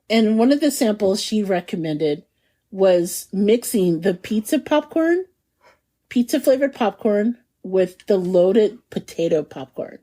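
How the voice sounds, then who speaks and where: A woman's voice: mid-range voice, warm tone. A woman co-hosting a food podcast in casual chat, bubbly and chatty, laughing often. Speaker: podcast co-host.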